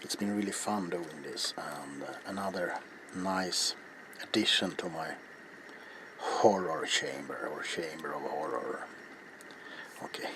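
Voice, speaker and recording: A middle-aged man speaking softly in ASMR style as he demonstrates a craft, halting and often slipping into a whisper. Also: rumbling low, background noise. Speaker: ASMR video maker and host. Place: small cluttered indoor workshop.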